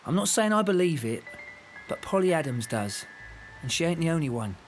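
Blunt London policeman, highish voice. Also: big pitch swings, brisk, soft background music. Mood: earnest. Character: earnest, no-nonsense male London policeman. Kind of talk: audio drama acting.

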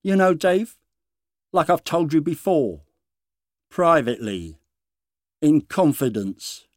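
A man voicing a quietly menacing male bully in a storybook reading, speaking precisely and deliberately. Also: a little high, big pitch swings, no echo, clean studio sound, voice pushed up. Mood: coldly intimate.

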